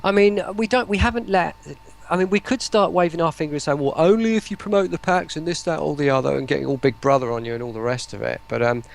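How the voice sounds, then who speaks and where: A man's voice: fairly high pitch, fast talker, gravelly, hardly any pauses. A man who is a guest on a live stream, chatting casually and rambling as he repeats his phrases. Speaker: interview guest, voice pack producer.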